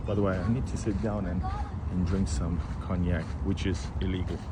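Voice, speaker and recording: A man's voice: low voice, steady tone, moderate pace. A man, a Russian speaker, casually chatting to viewers as he walks through city streets and a park. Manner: casual, rambling, chats with passersby and chat. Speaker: vlogger. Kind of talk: walking street vlog talking to viewers. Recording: loud street and traffic noise.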